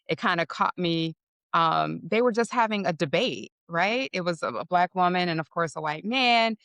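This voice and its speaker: A woman guest with a deeper voice, explaining in a measured way and often checking with "right?". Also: fairly bright tone, no echo. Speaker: podcast guest. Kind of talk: casual interview conversation.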